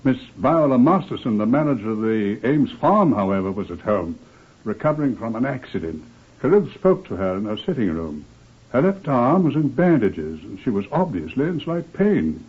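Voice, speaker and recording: A man's voice: mid-range voice, sing-song, moderate pace, slightly rough. A man narrating a scripted crime mystery in the manner of old-time radio drama. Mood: measured, grave. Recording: muffled, band-limited vintage radio recording.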